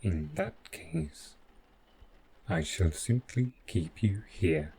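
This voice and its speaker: A man playing an overprotective, possessive robot butler in an audio roleplay, calmly menacing yet devoted, with formal, measured, clinical phrasing. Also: lowish voice, vocal fry, breathy edge, heavily acted. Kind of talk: scripted audio roleplay addressed to the listener.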